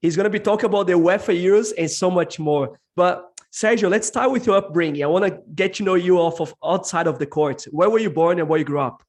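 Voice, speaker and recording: A male podcast host with a higher voice interviews a guest. He asks friendly questions with enthusiasm. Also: fairly quick, few pauses, darkish tone.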